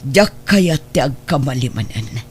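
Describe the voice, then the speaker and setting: A woman's voice: a bass voice, animated, measured pace, a little mellow, slightly rough, exaggerated voice. An actor playing a stern, resolute older wealthy adoptive mother with an Ilocano accent in a radio drama. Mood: solemn, measured.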